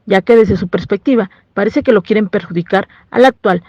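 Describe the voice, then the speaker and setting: A woman's voice: mid-range voice, brisk, a little mellow, dry recording. A woman with a Mexican accent reading a scripted news report as a reporter.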